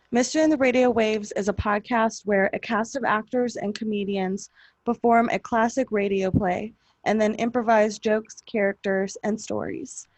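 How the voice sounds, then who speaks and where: A woman's voice: on the high side, darkish tone, dry recording. A woman podcast host reading a scripted introduction in a casual, irreverent way.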